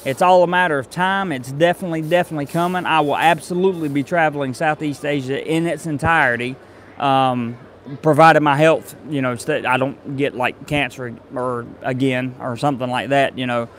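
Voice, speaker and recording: A man's voice: mid-range voice, fairly even intonation, a little fast, a bit bright. A man, reading out viewer comments in a rambling, casual live stream. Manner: rambling, full of fillers and restarts. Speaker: travel vlogger reading live chat. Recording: faint street noise behind.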